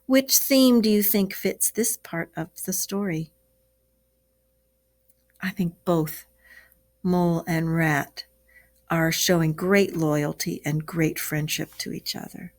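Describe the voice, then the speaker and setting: Lady teacher reading to kids, kinda low voice, asks the class stuff. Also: takes it slowly, long pauses. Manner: expressive, explaining, asks questions. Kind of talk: read-aloud lesson for children.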